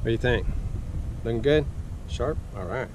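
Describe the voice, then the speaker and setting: A man's voice: mid-range voice, lively intonation, moderate pace. A man in his forties unboxing fishing gear outdoors beside a boat, talking in a laid-back, enthusiastic way with plenty of fillers. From Arizona, USA. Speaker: fishing vlogger. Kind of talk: casual unboxing vlog. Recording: outdoor camera audio with background noise.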